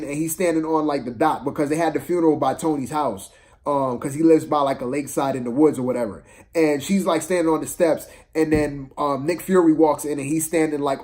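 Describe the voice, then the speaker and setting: A man's voice: fairly high pitch, darkish tone. A man giving a casual, opinionated movie review, exasperated and slangy, repeating phrases for emphasis.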